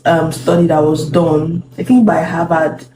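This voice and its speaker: A woman's voice: deep voice, level tone, measured pace. A woman talking thoughtfully with her husband about communication in marriage, agreeing with him and adding her own points. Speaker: co-host, wife. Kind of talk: casual talk on marriage advice. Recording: echoey room with background noise.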